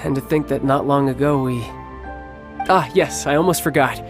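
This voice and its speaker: A man's voice: mid-range voice, moderate pace, close to an everyday voice. A man playing a suave, playful young warrior and doting big brother with a polished, teasing manner in a video game. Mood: confident, friendly. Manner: polished, smooth-talking, teasing. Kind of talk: English game dub acting. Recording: background music under the voice.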